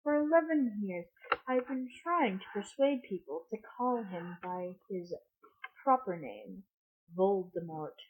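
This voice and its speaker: A woman voicing a gentle, whimsical old male wizard from a storybook, mild and courteous in manner. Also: medium pitch, lively intonation, a little slow, a little hiss or hum, close to an everyday voice. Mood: calm, reasoning. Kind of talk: amateur storybook character reading. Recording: muffled lo-fi audio.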